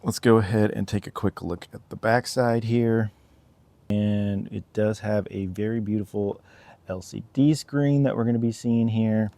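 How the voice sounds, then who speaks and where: Low-voiced man, slightly gravelly, casual reviewer. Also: fairly even intonation, measured pace, warm tone, dry recording. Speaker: product reviewer.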